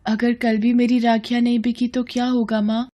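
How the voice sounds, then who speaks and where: A woman's voice: a little high, flat delivery, moderate pace, mellow, pitched above the performer's own voice, natural voice. A woman voicing a poor, earnest young girl street seller in a cartoon story, with an eager, sweet, childlike manner. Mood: dejected. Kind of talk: dramatised Hindi cartoon storytelling.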